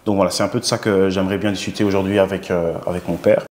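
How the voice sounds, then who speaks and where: A young adult man hosting an interview with his father, speaking in a relaxed way with frequent fillers. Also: low-pitched, fast talker, roomy sound. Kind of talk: casual interview.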